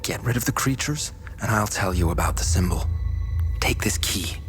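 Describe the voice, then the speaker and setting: A man's voice: fairly low pitch, lively intonation, moderate pace, fairly bright tone, faint music behind. A man playing an anguished, determined father and history teacher in a video game drama, earnest and burdened. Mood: grave, grateful.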